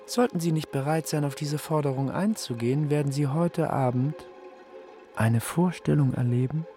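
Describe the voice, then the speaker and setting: An actor in a radio play voicing a menacing, imperious phantom who speaks in a formal, commanding, deliberate way. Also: male voice, a little high, mellow, close to an everyday voice. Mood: coldly threatening. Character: menacing, imperious opera phantom dictating his demands. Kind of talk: German radio play acting. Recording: music behind the voice.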